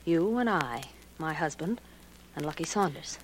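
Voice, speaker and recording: An actress in a 1940s radio drama playing a jealous wife who makes cool, deliberate threats. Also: female voice, sits low, animated. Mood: menacing. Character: jealous, menacing wife holding a gun. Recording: noisy old 1940s radio recording.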